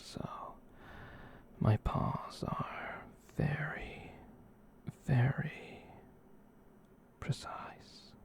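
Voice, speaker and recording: A performer voicing a gentle, comforting giant catboy in a soft, halting ASMR roleplay whisper. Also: medium pitch, full character voice. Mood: gentle, reassuring. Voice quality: soft breathy whisper with creaky edge. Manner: soothing, halting rambles with cutesy verbal tic.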